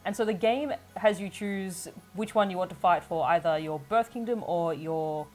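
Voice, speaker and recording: A woman's voice: fairly low pitch, moderate pace, darkish tone, faint music behind. A woman reviewing a video game on a show in a conversational commentary style.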